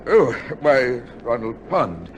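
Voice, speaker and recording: An actor playing a cold, proud older judge with a formal, clipped manner in an old-time radio drama. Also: male voice, mid-range voice, very animated, slow. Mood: guarded, uneasy. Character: cold, proud senior judge, guilty and rattled. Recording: noisy old radio recording.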